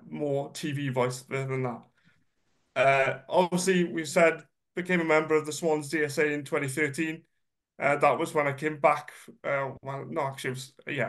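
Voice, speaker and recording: A man gives a chatty, self-deprecating personal presentation, sounding a bit nasal from a cold and using many ums and uhs. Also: fairly high pitch. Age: 30s. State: congested, slightly nasal from a cold. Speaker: guest speaker.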